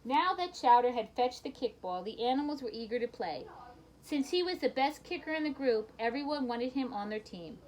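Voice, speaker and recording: A woman reading a children's picture book aloud in an expressive storytelling manner, with brief asides to her listeners. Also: high voice, normal speed, rough recording.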